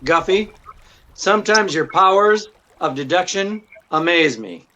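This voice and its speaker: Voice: medium pitch, expressive, unhurried, sharp tone, low-quality recording. An actor playing a wisecracking, unflappable male amateur detective in 1940s radio style, with a droll, teasing, hesitant delivery. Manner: droll, sardonic, chuckling hesitations.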